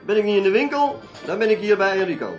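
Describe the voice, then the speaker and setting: A man's voice: high-pitched, lively intonation, fast, highs cut off, faint music behind. A man playing a chatty, evasive small-time dealer in a radio drama, with a folksy, hesitant way of talking. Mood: casual, evasive. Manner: folksy, hesitant, tag-heavy talk. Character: chatty, evasive male dealer in odds and ends.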